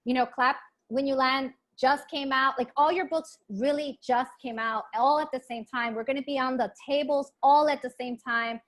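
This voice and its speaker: A woman with a fairly high voice moderates a book club event. She talks in an informal, earnest way, urging listeners along as she hosts. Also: steady tone, moderate pace, hardly any pauses, a bit bright. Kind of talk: casual book club discussion. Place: online video event.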